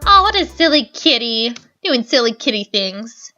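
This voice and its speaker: A woman's voice: a little high, very animated, normal speed, bright, forceful tone, lo-fi sound, soft background music. A woman hosting a let's play, reading game narration aloud and adding chatty, giggly commentary. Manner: chatty, giggly, reads narration then riffs.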